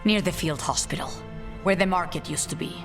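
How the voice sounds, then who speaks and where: A dignified, hopeful leader from a fantasy game. The delivery is measured and formal, with a long hall reverb. Music is clearly heard behind the voice. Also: male voice, fairly high pitch. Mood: stern, composed. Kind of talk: dramatic video game acting.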